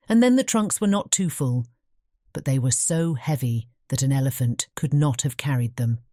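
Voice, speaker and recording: A woman's voice: low voice, mellow, no room sound, clean studio sound. A woman narrating a fairy tale in a scripted bedtime audiobook style.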